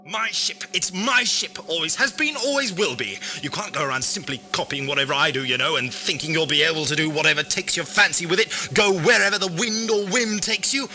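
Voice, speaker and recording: Man playing a pompous, excitable ancient time-traveller, bright piercing voice. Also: on the high side, fairly quick, hardly any pauses. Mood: ranting. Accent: British. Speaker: actor in an audio drama. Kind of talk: amateur audio drama acting. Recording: 1980s amateur audio drama, music and noise underneath.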